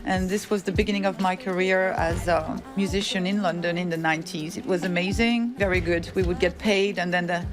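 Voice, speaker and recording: Middle-aged woman narrating over clear music. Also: lowish voice, fairly even intonation, brisk, hardly any pauses. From France, of Tunisian background. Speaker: singer-musician telling their own story. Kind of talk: personal documentary narration. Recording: music playing under the voice.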